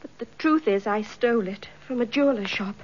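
An anxious young British woman played by an actress, high-voiced and earnest, with a remorseful, troubled manner. The radio drama recording is slightly dull. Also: brisk, lightly acted. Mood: remorseful, frightened.

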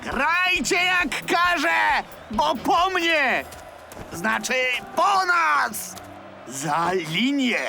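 Cartoon football coach: domineering, hot-tempered, high creaky voice, slow hammered-out orders, music behind. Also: male voice, big pitch swings, full character voice. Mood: frantic, bossy. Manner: barking orders and threats. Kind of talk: cartoon dub acting.

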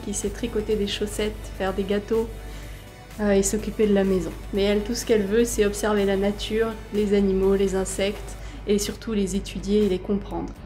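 Mellow, even-toned woman in her thirties. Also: mid-range voice. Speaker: school librarian. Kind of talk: explanatory interview answer. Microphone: handheld earphone mic held close.

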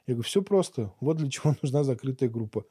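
Middle-aged male podcast guest, mellow husky voice, conversational and explanatory, close studio mic. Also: mid-range voice, expressive, fast, dry recording. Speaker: podcast guest, barber and teacher. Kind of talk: conversational interview talk. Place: small acoustically treated podcast studio. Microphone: boom-mounted studio microphone up close.

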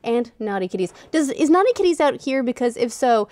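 A woman's voice: a little high, sing-song, a little fast, a little mellow, no echo. A woman, co-hosting a casual gaming chat. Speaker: podcast co-host. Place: indoor podcast studio. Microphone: desk studio microphone.

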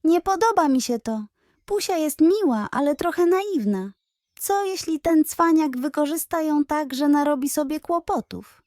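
A woman's voice: high-pitched, expressive, normal speed, darkish tone, no echo, studio quality, pitched above the performer's own voice. A woman voicing an opinionated, wary male farmyard gander in a children's story, outspoken and questioning. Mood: suspicious, worried.

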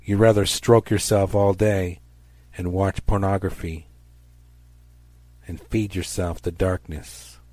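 A man delivers a fire-and-brimstone sermon in a low, mellow-toned voice. His manner is stern and accusatory, with declamatory emphasis on his phrases. The sound is dry and close, without room reflections. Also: fairly even intonation, takes it slowly, long pauses, no echo. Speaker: preacher.

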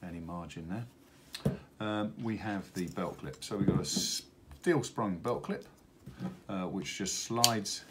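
A middle-aged man giving an unscripted product unboxing walkthrough, speaking haltingly with many hesitations. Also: lowish voice. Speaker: product presenter for a radio retailer. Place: indoors at a wooden table in an office. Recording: steady background room noise.

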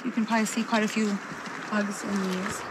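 A woman trail guide casually explaining plants and trails on a forest path, relaxed and full of fillers. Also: medium pitch, fairly quick, heavy background noise.

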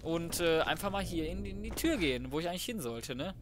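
A man's voice: fairly high pitch, animated, a little fast, slight background noise. A man giving casual gaming commentary, thinking aloud in a colloquial, cheeky way. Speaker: let's player.

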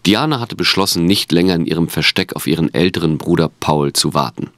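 A man's voice: low-pitched, fairly quick, no room sound. A man reading scripted narration for an audio drama.

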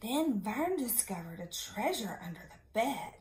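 A woman with a fairly high, very lively voice reads a storybook to small children. She is expressive and teacherly and checks in with her young listeners. The room around her is clearly echoey. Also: measured pace. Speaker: schoolteacher. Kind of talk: picture book read-aloud for young children. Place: small indoor classroom-style room.

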